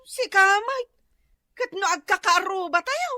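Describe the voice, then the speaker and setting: A woman's voice: extremely high pitch, expressive, measured pace, pushed and bright, no room sound, clean studio sound, exaggerated voice. A woman with an Ilocano accent voicing a nosy, gossipy neighbour in a comedy radio drama. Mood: amused, gossipy. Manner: gossipy, exclamatory.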